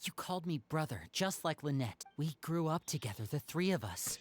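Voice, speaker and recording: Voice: mid-range voice, expressive, moderate pace, close to an everyday voice. A performer voicing a composed, protective young man, an earnest older brother in a video game. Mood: earnest, sincere.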